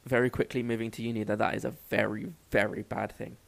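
A man's voice: fairly low pitch, little pitch movement, quick pace, gravelly. A young man in his twenties with a London accent, chatting casually as a podcast co-host, joking and cutting himself off mid-sentence. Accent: London English. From England. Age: 20s. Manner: rambling, joking, self-interrupting. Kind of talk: casual chat.

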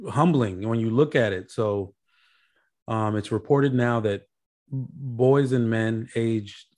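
A man in his mid-thirties co-hosts a casual podcast in a mid-pitched voice. He talks bluntly, cracks jokes and swears now and then. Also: a little mellow. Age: 30s. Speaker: podcast co-host.

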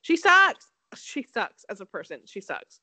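A young woman chats about celebrity gossip in a blunt, catty way, laughing often. Her pitch sits a little high and swings a lot. The sound is close and dry. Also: wildly swooping pitch, a little slow, pushed and bright, dry recording. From Connecticut. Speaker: podcast co-host. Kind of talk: casual gossip chat.

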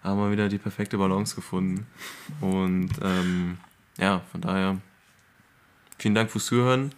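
A man's voice: low voice, fairly even intonation, moderate pace. A young man hosting a podcast in casual, slangy, colloquial chat among friends. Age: young adult. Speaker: podcast host.

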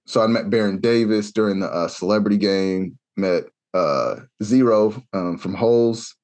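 A young adult man from Kansas telling a long, animated story in a casual podcast chat, acting out the voices he quotes. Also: fairly low pitch, moderate pace. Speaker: podcast co-host.

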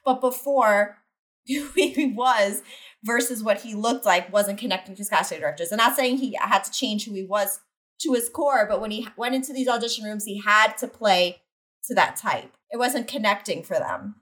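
A woman chatting casually about acting, telling a story in an animated way and quickly backing up her co-host. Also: mid-range voice. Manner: animated, quick agreeing interjections.

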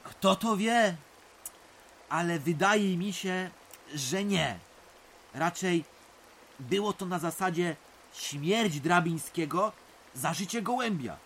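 An actor playing a folksy middle-aged uncle telling village tales in colloquial rural speech, in an audio drama. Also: male voice, on the high side, fairly bright tone. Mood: reflective. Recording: rain noise behind the voice. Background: rain.

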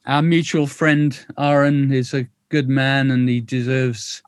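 A man's voice: medium pitch, level tone, no echo, studio quality. An older man in his sixties with a Northern English accent, hosting a chatty, rambling interview over a video call. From England. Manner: chatty, rambling, quick to laugh.

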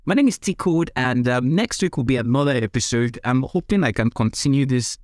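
A man with a Nigerian accent hosting a podcast interview, speaking earnestly and haltingly, often repeating words. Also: medium pitch, expressive, no room sound, studio quality.

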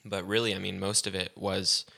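A man co-hosting a casual tech podcast, explaining in a relaxed way with lots of "like" and "um". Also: deep voice, normal speed, gravelly. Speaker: podcast co-host.

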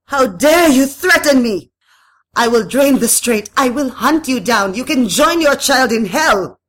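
A woman's voice: low-pitched, measured pace, husky, no room sound. A woman voicing a vain, cruel madame in a storybook, imperious and desperate, with a haughty, commanding, dramatic delivery. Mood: furious.

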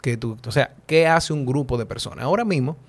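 A man's voice: mid-range voice, a little fast. Dominican man thinking aloud, full of fillers. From Dominican Republic. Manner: talks things through with many fillers and "¿tú entiendes?" asides. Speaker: guest. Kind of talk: casual philosophical conversation.

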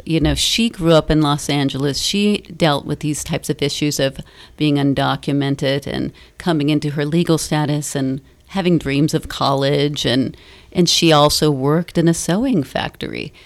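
A middle-aged woman talking as a guest in a radio studio interview, earnest and often circling back to rephrase. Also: sits low. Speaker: stage play director and university teacher, interview guest. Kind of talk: conversational radio interview talk.

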